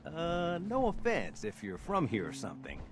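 A man's voice: fairly high pitch, wildly swooping pitch, normal speed. A man playing a wry, laid-back handyman survivor in a video game, with a sardonic, folksy manner. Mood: wry, easygoing. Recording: game dialogue under clear background noise.

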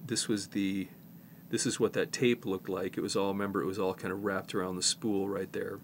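A man in his fifties with a slight head cold, presenting a casual hands-on repair tutorial in an informal, halting way as he works. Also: low voice, little pitch movement, a little hiss or hum. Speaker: presenter, tape repair technician.

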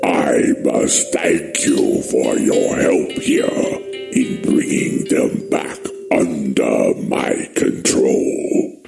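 Very deep, gravelly ancient ruler god. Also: male voice, lively intonation, full character voice. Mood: grave, grateful. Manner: slow, grave, commanding. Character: solemn ancient god and dragon king of Chinese myth. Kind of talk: dramatic game cutscene acting. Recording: loud music, echoing voice.

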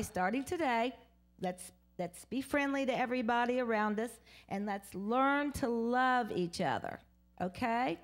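A woman giving a children's sermon in a church, talking simply and asking the kids questions. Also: mid-range voice. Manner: simple, coaxing, call-and-response questions. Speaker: children's message leader. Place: church sanctuary in front of seated children.

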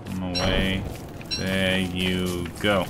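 Male streamer, deep voice, relaxed and chatty, with loud game music underneath. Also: drawn-out words. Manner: relaxed, chatty, reads out viewer chat. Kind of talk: casual gameplay chat.

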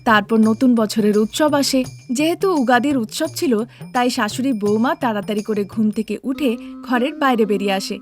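A woman's voice: a little high, fairly quick, faint music behind. A woman narrator reading scripted storybook narration for a cartoon tale.

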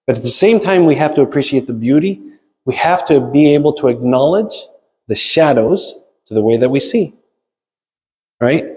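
A man's voice: medium pitch, warm tone. A man preaching a sermon in a conversational, explanatory way, often checking in with listeners with short tags. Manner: explanatory, frequent checking tags like "right?" and "okay?". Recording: long reverb of a large hall.

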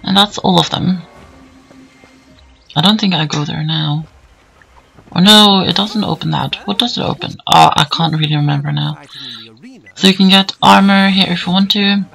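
A hoarse man talks through a game in an easygoing, meandering way, padding his sentences with fillers. His voice sits a little high and bright, and has a heavy gravelly fry. Faint game music plays beneath him. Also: moderate pace, pauses often, poor audio. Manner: rambling, easygoing, many fillers. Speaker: let's play commentator. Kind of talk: casual gameplay commentary voice-over.

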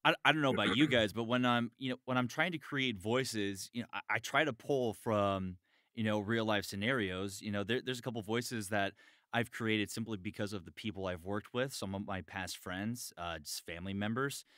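A man's voice: fairly low pitch, moderate pace, sharp tone, dry recording, clean studio sound. A man talking in a casual podcast chat, earnest and emphatic, repeating key phrases. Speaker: voice actor, podcast guest.

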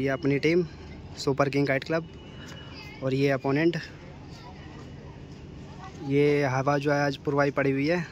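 Young guy calling kite fights on a rooftop, dead flat voice, phone mic with traffic noise behind. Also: mid-range voice. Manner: steady running commentary, flat delivery. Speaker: kite fight commentator filming. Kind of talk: live kite fighting commentary. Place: outdoors on a city rooftop. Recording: outdoor phone recording with street noise.

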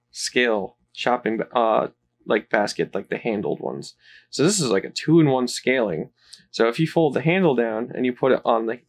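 A man giving casual, rambling commentary while unboxing a toy playset, off camera. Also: mid-range voice. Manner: relaxed, rambling, with fillers.

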